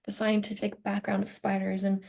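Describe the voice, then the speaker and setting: A woman's voice: mid-range voice, monotone, moderate pace, gravelly, darkish tone. A young woman in a casual student podcast discussion, informal and often searching for her words. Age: young adult. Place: remote internet call.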